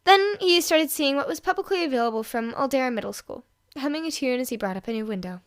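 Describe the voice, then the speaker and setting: A woman's voice: high voice, expressive, quick pace. A woman reading scripted fiction aloud as a storyteller. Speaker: fan fiction reader and podfic host.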